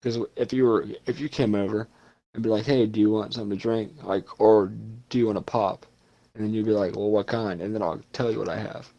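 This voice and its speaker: A man's voice: lowish voice, fairly even intonation, fairly quick, dark tone, lo-fi sound. A young man from Ohio chatting casually on a podcast, rambling and swearing freely. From Ohio, USA. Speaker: podcast co-host. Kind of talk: casual chat.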